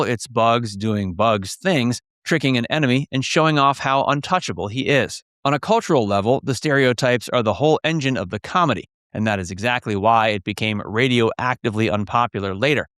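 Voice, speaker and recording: A man narrates a scripted documentary piece in a mid-pitched voice. The sound is close and very dry, like a studio voice-over. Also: a bit bright, clean tone, no echo.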